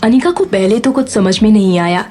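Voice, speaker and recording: Woman narrator, really mellow voice, scripted story read, faint music behind. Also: mid-range voice, lively intonation, fairly quick.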